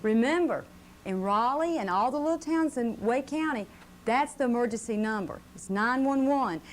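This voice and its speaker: A woman's voice: on the high side, animated, moderate pace. A woman giving a simple safety lesson to a live group of children, checking with questions as she explains. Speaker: fire safety educator. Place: live puppet show presentation. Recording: faint noise on VHS tape.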